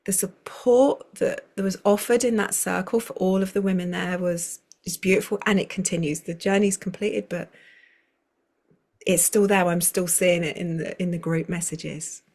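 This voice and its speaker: A woman's voice: on the low side, fairly even intonation, moderate pace, a little mellow. A woman, a circle facilitator, speaking as a guest over a video call in a flowing, rambling way with many restarts. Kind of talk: conversational interview.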